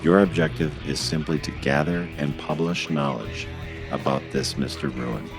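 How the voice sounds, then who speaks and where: A man voicing a calm, formal emissary from the future in a radio drama, speaking in a measured, deliberate way. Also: a bass voice, over music. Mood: calm, assuring. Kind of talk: scripted monologue in amateur radio drama.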